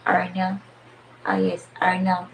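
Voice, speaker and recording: A woman's voice: on the low side, completely flat delivery, slow, creaky, a little hiss or hum, low-quality recording. A Filipina woman with a Filipino accent hosting a casual live stream, chatting with viewers, greeting them by name and laughing often. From Philippines.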